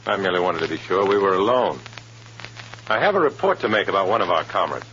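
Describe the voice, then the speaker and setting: Low-pitched, clipped American undercover man. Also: sing-song, fast talker, sharp tone, a little hiss or hum, full character voice. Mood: uneasy. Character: wary, cool undercover agent posing as a communist. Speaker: lead actor and narrator. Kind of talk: old-time radio drama acting and narration. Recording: muffled old radio recording with music.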